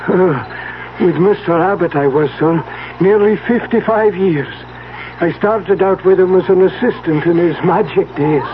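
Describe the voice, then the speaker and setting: High-voiced elderly servant, tinny radio. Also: male voice. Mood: deferential, hesitant. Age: older. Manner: halting, deferential, old-fashioned. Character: elderly, deferential family servant, wistful about bygone magic days. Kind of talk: 1970s radio drama acting. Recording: tinny, noisy old radio broadcast.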